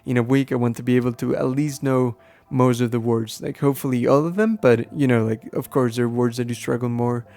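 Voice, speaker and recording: Mellow-voiced man, native Spanish speaker, hosting casually. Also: medium pitch, steady tone, a little fast, vocal fry, few pauses. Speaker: podcast host. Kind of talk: casual unscripted explaining to listeners.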